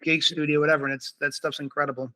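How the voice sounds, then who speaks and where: Bright, dry-sounding male podcast host. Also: on the high side, level tone, fast, no echo. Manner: agreeable, affirming interviewer. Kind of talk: casual interview talk.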